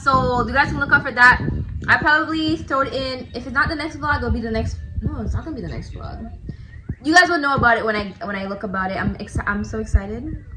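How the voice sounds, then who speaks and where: A young woman chatting quickly with her husband for a family vlog, laughing often. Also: high voice, background noise. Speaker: vlogger. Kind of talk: casual chat.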